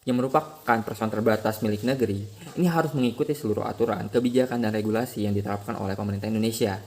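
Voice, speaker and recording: A man's voice: fairly low pitch, darkish tone. Guy doing a uni presentation, asks himself questions then answers, goes down a list of points. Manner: lists points one after another. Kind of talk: academic presentation.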